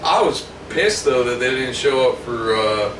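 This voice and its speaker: A man's voice: mid-range voice, lively intonation, moderate pace. A man who grew up in Germany, chatting casually, laid-back and profane. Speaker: band member. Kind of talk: casual chat. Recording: roomy, distant mic with noise.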